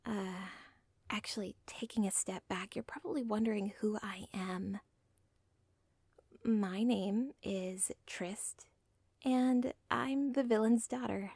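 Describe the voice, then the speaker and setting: A woman's voice: mid-range voice, fairly even intonation, slow pace, gravelly, husky, no echo, full character voice. A woman playing an obsessive villain's daughter. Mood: rambling. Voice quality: soft whisper. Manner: rambling, whispered fourth-wall asides. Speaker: audio roleplay performer. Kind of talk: ASMR audio roleplay.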